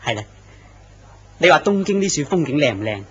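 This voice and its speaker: A man's voice: on the high side, big pitch swings, brisk, slight background noise. A man playing a polite, earnest office clerk. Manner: courteous and earnest, turns startled when threatened. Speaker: actor in a horror radio drama. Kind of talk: radio drama acting. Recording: dull lo-fi recording.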